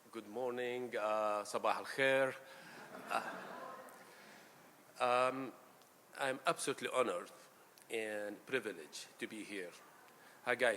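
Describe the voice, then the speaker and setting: Middle-aged male doctor from Iraq, halting conference speaker, mic in a reverberant hall with faint hum. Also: on the low side. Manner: halting, frequent fillers, warm and gracious. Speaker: pain physician giving a conference talk. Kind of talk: conference lecture. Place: large lecture hall.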